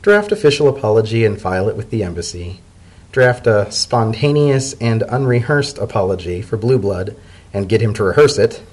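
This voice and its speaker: A man voicing a brisk, wry female pony press secretary, stubborn and incredulous, in a dramatic story reading. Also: fairly low pitch, very animated, darkish tone, husky.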